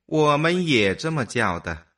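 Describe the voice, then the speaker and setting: A man's voice: on the high side, sing-song, unhurried, sharp tone, distant mic, exaggerated voice. A man playing an inquisitive, matter-of-fact immortal human mind in a science fiction audio drama. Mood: curious.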